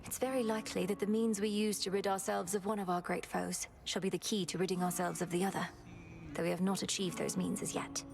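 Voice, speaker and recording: A woman's voice: mid-range voice, little pitch movement, fast, vocal fry, natural voice. A woman playing an earnest, dutiful young witch princess in a fantasy game, speaking formally and courteously with resolve. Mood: resolute, serious. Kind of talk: scripted game dialogue.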